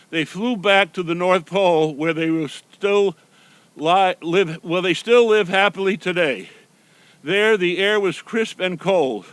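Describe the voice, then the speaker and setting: A man reads a children's storybook aloud in the role of Santa Claus. His voice sits high for a man, and he delivers the tale as a read-aloud for small listeners. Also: fairly bright tone, clean tone, no room sound.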